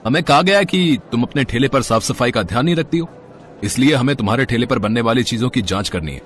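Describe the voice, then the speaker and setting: A man's voice: medium pitch, animated, fast, a little hiss or hum, natural voice. A man playing a stern, bullying food inspector in a moral story, officious and commanding. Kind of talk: storybook character acting.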